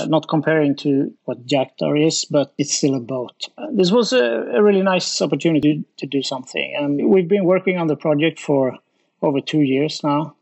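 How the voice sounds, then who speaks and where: A middle-aged man speaks English with a Swedish accent as an interview guest. His voice is a little high for a man and has a clear fry to it, and he explains things in a measured, hesitant way. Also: darkish tone. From west coast of Sweden. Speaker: interview guest, studio founder and designer. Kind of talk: conversational interview answers.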